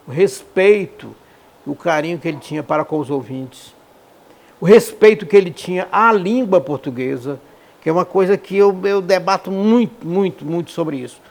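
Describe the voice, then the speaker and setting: A man's voice: fairly high pitch, gravelly. A man with a Northeastern Brazilian accent reminiscing in an interview, repeating phrases for emphasis. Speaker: radio professional and columnist, interviewee.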